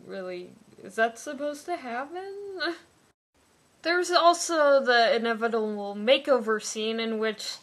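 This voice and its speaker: A woman's voice: on the high side, fairly bright tone. A young woman in her twenties giving a sarcastic, pedantic comic review of a book.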